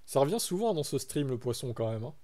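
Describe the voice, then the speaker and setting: A man's voice: medium pitch, sing-song, fast, warm tone. A young man from France chatting casually on a live stream, reacting to his chat and reading text aloud.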